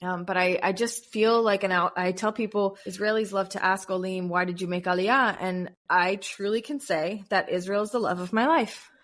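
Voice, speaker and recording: A woman in her thirties from the United States, a podcast guest chatting casually and telling lively stories. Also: normal speed, few pauses. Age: 30s. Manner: animated storyteller, bursts into high-pitched acted quotes and laughs. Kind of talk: casual interview chat.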